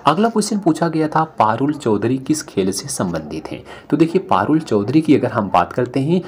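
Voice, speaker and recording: A man's voice: medium pitch, big pitch swings, moderate pace, darkish tone. A man explains exam questions one by one as a teacher, repeating questions and answers for emphasis. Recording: noticeable background noise.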